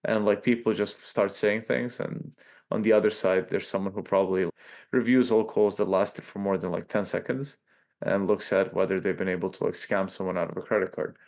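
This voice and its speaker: A man with a Serbian accent, a podcast guest talking in a loose, animated way and tagging lines with "right?". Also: sits low, brisk. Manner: animated, peppers speech with "like" and "right?". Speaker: podcast guest, tech company chief. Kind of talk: casual interview talk.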